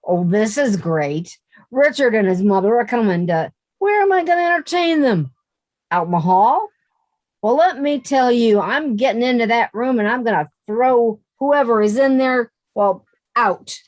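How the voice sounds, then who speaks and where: A young actress playing a brisk, determined young woman tenant in a radio comedy. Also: fairly high pitch, animated, a little mellow, no room sound, lightly acted. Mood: exasperated. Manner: brisk, exasperated.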